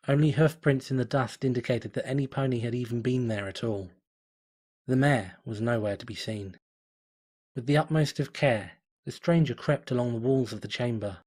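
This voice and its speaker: A man narrates a fan fiction story in a measured, deliberate way. His voice is husky and sits at a middle pitch.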